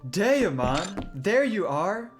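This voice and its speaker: A woman's voice: mid-range voice, sing-song, extremely slow, faint music behind. Woman playing a vain, theatrical fantasy bard. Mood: breezy sarcasm. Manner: theatrical, self-important. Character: vain, theatrical bard, haughty and dryly sarcastic.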